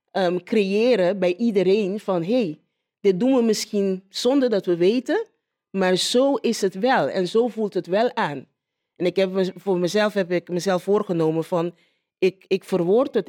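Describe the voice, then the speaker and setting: A woman giving a spontaneous personal account in an interview, haltingly, repeating phrases as she searches for words. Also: mid-range voice, darkish tone. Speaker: interviewee, local politician.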